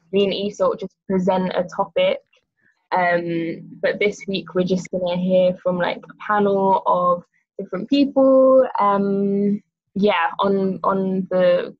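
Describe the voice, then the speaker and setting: A muffled, slightly dull recording of a young English woman. She moderates a panel informally, with a hesitant delivery and a mid-pitched voice. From small countryside town on the east coast of the UK. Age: young adult. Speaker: seminar host. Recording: muffled, lo-fi online call audio.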